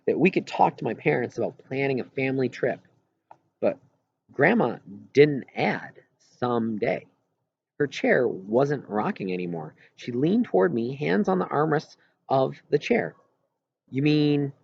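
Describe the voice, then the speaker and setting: A man with a husky voice reads a first-person novel aloud expressively, with halting pauses mid-phrase. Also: medium pitch, a little mellow, no echo, muffled recording. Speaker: audiobook reader.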